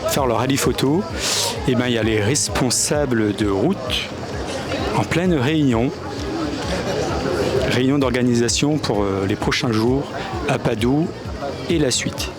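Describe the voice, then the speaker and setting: A man's voice: mid-range voice, unhurried, pauses often, loud music behind the voice, a loud crowd. Hesitant man reporting from a pilgrimage. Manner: hesitant, many fillers and restarts. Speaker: on-site reporter for a youth pilgrimage. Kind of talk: on-location vlog commentary. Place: outdoors in a town square.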